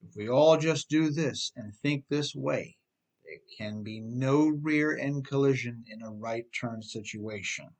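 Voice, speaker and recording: A man's voice: mid-range voice, unhurried, darkish tone. Man narrating an instructional audiobook. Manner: rhetorical questions, direct address to listeners, emphatic. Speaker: author reading own advice book on driving.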